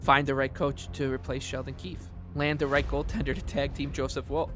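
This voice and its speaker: A man's voice: medium pitch, little pitch movement, a little fast, music in the background. A man co-hosting a sports podcast, talking casually and reading aloud with wry, sarcastic emphasis. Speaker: podcast co-host.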